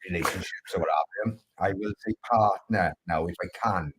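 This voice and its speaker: A man's voice: low voice, fairly quick, fairly bright tone, a little hiss or hum. A man from Wales co-hosting a casual chat show, with a Welsh accent, joking and self-mocking with stumbling restarts. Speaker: co-host.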